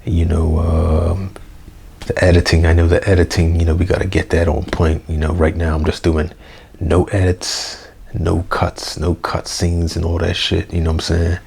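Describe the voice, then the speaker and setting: A young man, hoarse, rambling through a casual unedited review vlog with lots of fillers and slang. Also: low-pitched, monotone, moderate pace, darkish tone. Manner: rambling, full of fillers like "you know" and "man". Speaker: wrestling review vlogger. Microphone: basic mic, plans a new one.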